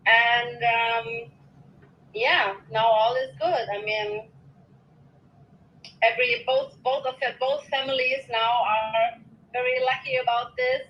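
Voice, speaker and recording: A woman from Bosnia, a guest on a remote call from her bed at night, talking casually with many pauses and fillers. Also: on the high side, steady tone, dull audio, slight background noise. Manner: hesitant, laughs often, many fillers. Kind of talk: casual conversation.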